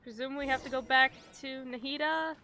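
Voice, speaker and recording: A woman's voice: high-pitched, steady tone, moderate pace, bright, forceful tone, a little hiss or hum. A young adult woman giving casual livestream game commentary, jokey, affectionate and slangy with some swearing. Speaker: streamer.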